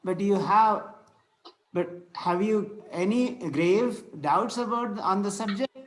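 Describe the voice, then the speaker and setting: A man playing a courteous, solemn Victorian clergyman in a play read-through, speaking formally and a little haltingly. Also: high-pitched, rough recording. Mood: courteous, earnest. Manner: formal, preachy, halting as he reads. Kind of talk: amateur play table read.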